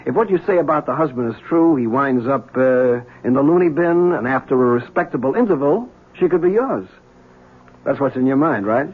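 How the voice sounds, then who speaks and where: Wisecracking police lieutenant, husky and tinny. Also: male voice, medium pitch, lively intonation, brisk. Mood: sardonic. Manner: sardonic, teasing. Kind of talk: 1970s radio drama acting. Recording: tinny old radio broadcast.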